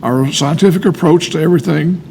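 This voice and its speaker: An older man giving casual, earnest explanations with anecdotes, often pausing mid-sentence. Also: a little mellow. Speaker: speaker on alcoholism recovery, retired medical worker. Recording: clear background noise with low rumble.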